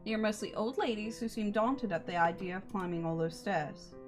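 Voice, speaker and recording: A woman's voice: mid-range voice, fairly quick. A woman livestreamer reading a visual novel aloud and chatting casually, with playful, sweary asides. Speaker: livestreamer, VTuber. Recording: music behind the voice.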